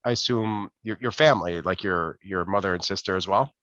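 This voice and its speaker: A man's voice: sits low, fairly quick, a bit bright, no echo, dull audio. A man casually asking interview questions in an encouraging, affirming way.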